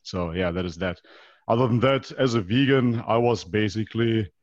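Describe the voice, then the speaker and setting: A man's voice: fairly low pitch, normal speed, no echo. A man of about thirty with a foreign European accent, explaining his own experience as a podcast guest and often checking in with a rhetorical question. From North Macedonia, raised in Germany. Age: around 30. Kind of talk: conversational interview, personal account. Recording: dull, band-limited online call.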